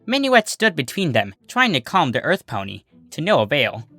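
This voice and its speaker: A narrator reading a scripted story in an animated storytelling style. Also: mid-range voice, moderate pace, no echo, faint music behind. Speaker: narrator, fan fiction reader.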